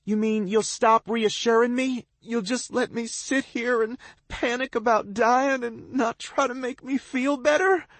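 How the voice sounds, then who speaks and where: Man voicing a panicked young woman, high and shrill, tearful, sobbing, dry close sound. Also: steady tone, unhurried, hardly any pauses, fairly bright tone, no echo, exaggerated voice.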